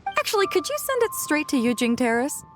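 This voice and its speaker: A woman's voice: sits high, very animated, a little fast. A woman playing a self-assured, generous ship captain in a video game, talking in a casual, breezy, confident way. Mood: cheerful, generous. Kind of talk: video game character dialogue.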